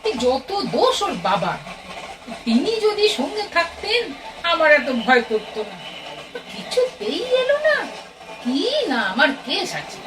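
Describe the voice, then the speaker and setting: Actress as fussy middle-aged Bengali mum, anxious chatterbox, high voice with big swoops, radio play. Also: female voice, far from the mic, heavily acted, rough recording. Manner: fretful, exclamatory, homely scolding and chattering. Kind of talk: audio play acting.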